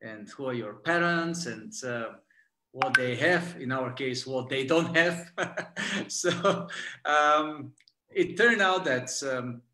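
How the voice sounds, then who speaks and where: A man's voice: mid-range voice, slow pace, pushed and bright, roomy sound. A Bulgarian man whose first language is Bulgarian, a guest in an interview, speaking hesitantly with many fillers and frequent laughs. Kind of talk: interview conversation.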